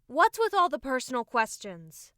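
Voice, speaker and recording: A woman's voice: sits high, huge pitch swings, moderate pace, fairly bright tone, dry recording, clean studio sound, heavily acted. A woman voicing a guarded, prickly young female sword fighter with a matter-of-fact manner in an audiobook. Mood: irritated.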